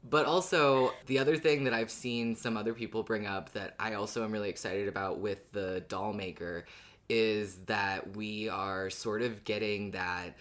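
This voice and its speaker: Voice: medium pitch, steady tone, normal speed, a bit bright. A podcast co-host in casual fan discussion, talking in a joking, playful and sweary way.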